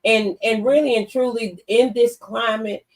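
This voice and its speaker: A woman's voice: a little high, steady tone, a little slow. American woman hosting a casual talk show.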